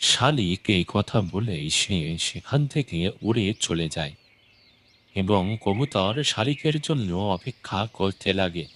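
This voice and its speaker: A man's voice: deep voice, lively intonation, unhurried, fairly bright tone, slight background noise. A man narrating a scripted children's cartoon story.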